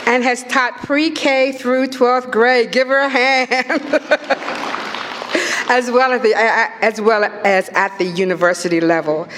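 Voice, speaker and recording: A woman's voice: a little high, slow, crowd noise, background noise. A woman presenting an arts panel, introducing the speakers and reading their bios. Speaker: event presenter. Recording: long hall reverb with music.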